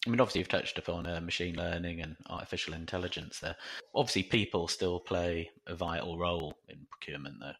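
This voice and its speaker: A man asking a quick follow-up question as the interviewer. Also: sits low, steady tone, a bit bright.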